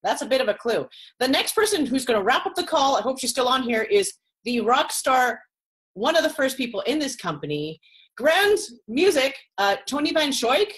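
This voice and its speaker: A woman's voice: high-pitched, sing-song, normal speed, fairly bright tone, rough recording. Enthusiastic woman hosting a call. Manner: enthusiastic, praising. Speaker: call host.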